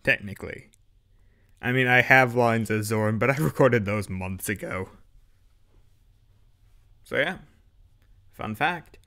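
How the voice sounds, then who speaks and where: A man's voice: medium pitch, slow pace, long pauses, bright, forceful tone. A man chatting casually behind the scenes as an audio drama director, with self-deprecating asides.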